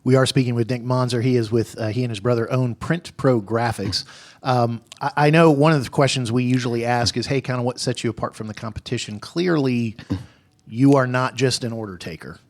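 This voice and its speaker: A man's voice: mid-range voice, steady tone, fairly quick. Man hosting a business radio show. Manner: rambling questions with restarts. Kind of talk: radio interview.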